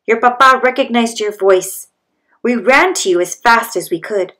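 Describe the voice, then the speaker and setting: A woman's voice: fairly high pitch, normal speed, fairly bright tone, close to an everyday voice. A woman voicing a kindly, reassuring male ram in a children's storybook. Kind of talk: children's storybook read-aloud.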